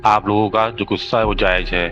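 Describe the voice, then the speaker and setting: Guy doing a cartoon village chief, low voice, calm and preachy, muffled audio w/ some hiss. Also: close to an everyday voice. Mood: calm, earnest. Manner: measured, explanatory, moralizing. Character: village headman, calm, earnest, fair-minded leader. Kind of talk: Hindi children's cartoon dialogue, speech to villagers.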